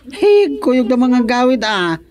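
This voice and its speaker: Woman as a boisterous slow-talking schoolmate. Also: a little high, big pitch swings, a crowd in the background. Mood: teasing. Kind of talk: Ilocano radio comedy drama.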